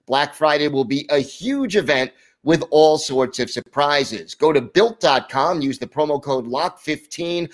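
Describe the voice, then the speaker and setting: A man hosting a sports podcast, asking questions in a brisk, friendly way and reading sponsor copy smoothly. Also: on the high side, animated. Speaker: podcast host. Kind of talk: podcast interview and ad read.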